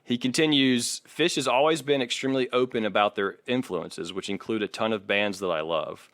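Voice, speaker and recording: A man's voice: mid-range voice, fairly even intonation, normal speed, a bit bright, no room sound. A man co-hosting a casual podcast, reading from articles and arguing his points in an opinionated way. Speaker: podcast co-host.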